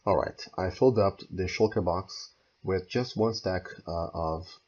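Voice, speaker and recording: A hoarse man who is losing his voice, walking through a game build step by step and thinking aloud as he goes. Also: deep voice, a little slow, darkish tone, muffled recording. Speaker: game tutorial creator. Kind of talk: screen-recorded building tutorial.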